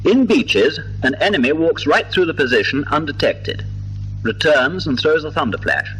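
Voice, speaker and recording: A British man delivering formal, precise, measured scripted narration for a 1950s documentary, on an old film soundtrack. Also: fairly high pitch, expressive, a bit bright, a little hiss or hum, rough recording.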